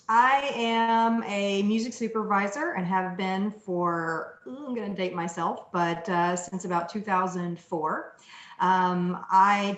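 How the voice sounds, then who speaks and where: A woman in her forties talks on a video call in a chatty, self-deprecating way. Her voice is lowish, and the room around her echoes. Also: fairly bright tone. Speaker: music supervisor. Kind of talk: casual self-introduction. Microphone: webcam on video call.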